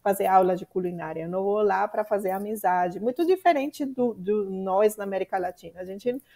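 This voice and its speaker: A middle-aged woman with a Colombian Spanish accent speaks as a guest in a conversational interview. Her voice sits at an ordinary middle pitch, and she talks in an animated way, often stopping on small fillers while she searches for the next word. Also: brisk.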